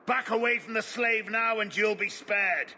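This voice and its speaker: A man's voice: high-pitched, a little slow, sharp tone, a little hiss or hum, full character voice. An actor playing a commanding male slave hunter leader, barking threatening orders. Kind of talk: video game voice acting.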